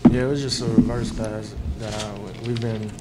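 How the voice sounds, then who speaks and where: A young man, a college football player answering questions after a game at a table microphone, speaking casually and haltingly. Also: on the low side, flat delivery, very noisy. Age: young adult. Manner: casual, halting, laid-back. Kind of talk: postgame press answers. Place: press room before a sponsor backdrop. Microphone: table mic in front.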